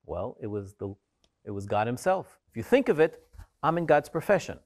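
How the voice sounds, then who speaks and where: A man in his fifties, a Torah scribe, talking in an animated, storytelling way with humorous asides. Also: medium pitch, moderate pace. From Israel. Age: 50s. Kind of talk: interview soundbite.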